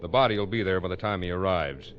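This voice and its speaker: A man's voice: low-pitched, quick pace, lo-fi sound, natural voice. An actor playing a terse, commanding male police lieutenant in an old radio crime drama. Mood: grim, authoritative. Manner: terse, matter-of-fact, authoritative. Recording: faintly noisy old radio recording.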